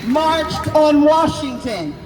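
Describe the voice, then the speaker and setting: A woman in her sixties giving an impassioned rally speech outdoors, declaiming in drawn-out phrases and shouting key words for emphasis. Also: high voice, animated. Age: 60s. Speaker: rally speaker. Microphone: unamplified, distant camera. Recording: distant, echoey outdoor recording with crowd. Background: crowd noise.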